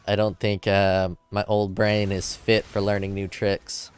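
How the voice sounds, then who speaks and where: A man's voice: lowish voice, monotone, a little slow, clean tone. A man streaming a game from his home desk, chatting casually and reading chat messages aloud, a little drunk. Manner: laid-back, rambling, joking. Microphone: desk mic in front of keyboard.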